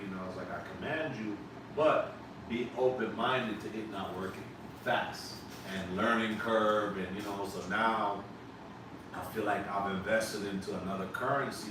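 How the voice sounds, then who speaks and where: Emphatic man hosting in an echoey room. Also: lowish voice. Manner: emphatic, animated, swears casually. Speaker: podcast host. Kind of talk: casual interview chat. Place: live podcast set on a couch. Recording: echoey room, distant mic, background noise.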